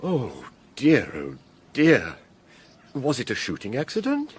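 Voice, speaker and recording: An actor playing a nervous, kindly country parson in a Victorian radio drama, wordy and genteel, with a stammering, flustered way of talking. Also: male voice, mid-range voice, huge pitch swings, slow pace, slight background noise. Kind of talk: period radio drama acting.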